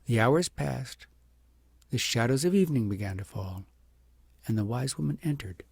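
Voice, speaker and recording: A man narrates a storybook in a very gravelly, raspy voice. He phrases deliberately and leans on words for dramatic effect. The sound is dry, with little room in it. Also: mid-range voice, lively intonation, a little mellow, dry recording. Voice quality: gravelly, husky. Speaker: audiobook narrator. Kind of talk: expressive scripted storybook narration.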